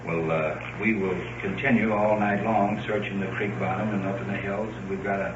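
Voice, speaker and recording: Older radio tape of american police chief reassuring someone, low voice, echoey, far from mic. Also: male voice, steady tone, normal speed. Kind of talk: halting, reassuring conversation. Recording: distant echoey muffled field tape, noisy.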